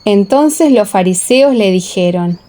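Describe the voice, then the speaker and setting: A woman's voice: medium pitch, animated, measured pace, warm tone. A woman reading scripted audiobook narration. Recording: echoey, long reverb.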